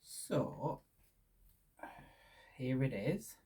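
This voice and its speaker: A man's voice: medium pitch, animated, very slow, mellow, slightly rough. A young man from Hungary with a Hungarian-Lancashire accent, hosting a casual podcast and rambling with lots of restarts and ums. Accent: Hungarian with Lancashire English. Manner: rambling, many restarts and ums, laughs shyly. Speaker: podcast host. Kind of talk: casual solo podcast chat.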